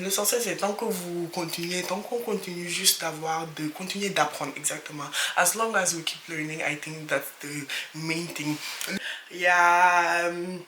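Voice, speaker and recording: Young female vlogger, casual and loose, code-switches constantly, crisp bright tone, light background hiss. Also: lowish voice. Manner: chatty, switches between French and English, quick laughs. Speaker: channel host. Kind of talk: casual vlog talk to camera. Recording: echoey room with faint noise.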